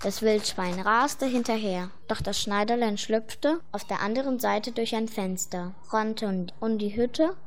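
A woman's voice: mid-range voice, measured pace, few pauses. A woman narrating a scripted fairy tale as the storyteller of a children's radio play.

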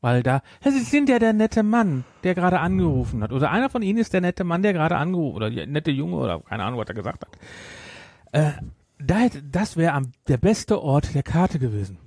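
A man's voice: fairly high pitch, big pitch swings, brisk, a little mellow, no room sound, clean studio sound. A man co-hosting a podcast in casual chat, emphatic and opinionated, repeating himself to press his point. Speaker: podcast co-host. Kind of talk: casual chat reviewing audio drama.

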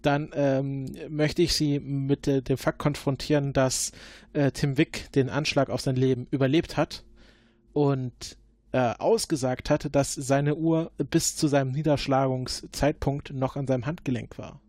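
A man in an improvised role-play, questioning formally and probingly as an investigator, often halting mid-sentence. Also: medium pitch, flat delivery, darkish tone, no echo. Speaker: player acting as investigating agent.

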